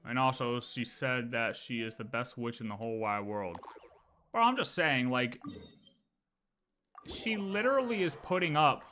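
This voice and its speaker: Bright, forceful man livestreaming casually. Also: medium pitch, lively intonation, a little slow, long pauses, soft background music. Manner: emphatic, slangy, repeats phrases. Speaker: game livestreamer.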